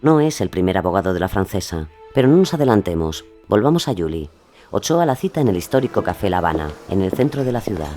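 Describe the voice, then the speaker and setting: Lively low-voiced male documentary narrator. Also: fast talker. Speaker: podcast narrator. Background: faint music.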